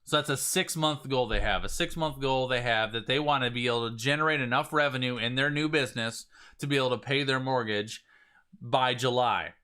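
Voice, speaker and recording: A man, slightly raspy today, coaching viewers on a live stream in an energetic way, often restarting his phrases. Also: medium pitch, a little fast. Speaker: business coach.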